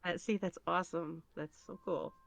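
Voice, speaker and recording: A woman's voice: fairly low pitch, steady tone, normal speed, creaky. A woman chatting casually as an interview guest, hesitant, rambling and self-deprecating, on a dull, muffled recording. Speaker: podcast guest, ASMR roleplay creator.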